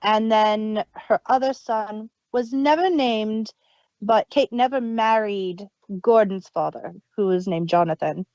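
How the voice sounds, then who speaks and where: A woman's voice: mid-range voice, slow pace, darkish tone, no echo, lo-fi sound. A woman co-hosting a casual review chat, recounting story details at length. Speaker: podcast co-host.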